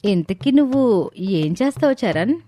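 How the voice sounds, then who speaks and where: A woman voicing an earnest, expressive young sister in a dramatized cartoon moral story. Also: medium pitch, a little slow. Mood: indignant. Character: young sisters, eager amateur video makers. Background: background music.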